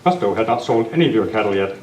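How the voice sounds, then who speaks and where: A man's voice: on the low side, animated, fast talker, a little mellow, natural voice. A man playing an upright Western lawman hero in an amateur radio play, speaking formally in declarative lines. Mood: assured. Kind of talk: old-time radio play reading by club members. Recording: echoey meeting room from far off, faint noise.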